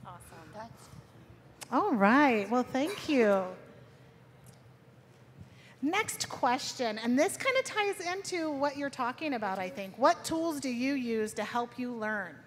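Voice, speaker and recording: High-voiced woman moderating in a reverberant hall. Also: very animated, slow, long pauses. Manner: encouraging, informal. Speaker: panel moderator. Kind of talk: informal panel moderating. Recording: reverberant hall with background noise.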